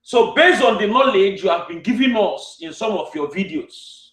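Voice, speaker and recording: A man with a West African accent hosts an interview in a fairly high voice. He speaks earnestly in declarative, repeated phrases. The sound is roomy and echoing, as if he sits some way from the microphone. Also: expressive, normal speed, fairly bright tone. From Cameroon. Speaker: interviewer and host. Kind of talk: earnest monologue and interview questions. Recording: distant, echoey room sound.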